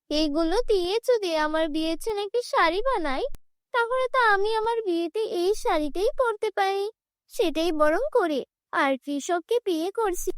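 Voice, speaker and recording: Woman as poor young bride-to-be, very high-pitched, proud, plaintive, emphatic, fairy-tale cartoon. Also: fairly bright tone, dry recording, studio quality.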